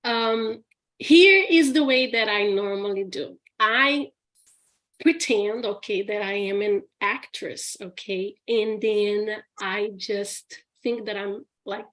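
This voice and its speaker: A woman speaking English with a Brazilian accent, answering viewer questions as a teacher at an easy, slow pace. Her voice sits at an ordinary middle pitch. She talks in a chatty way, laughs often and keeps checking in with "okay?". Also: a bit bright. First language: Portuguese. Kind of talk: live Q&A session.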